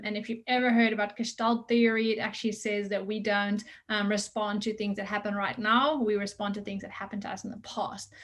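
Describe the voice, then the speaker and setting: A woman with a mixed Dutch and South African accent, talking earnestly as a coach on an interview podcast, with frequent ums and restarts. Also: medium pitch. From Netherlands. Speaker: results coach guest.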